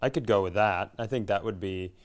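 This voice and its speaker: A man's voice: lowish voice, brisk. A man co-hosting a podcast, chatting casually and telling comic stories with some swearing. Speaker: podcast co-host. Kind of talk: casual comedic banter.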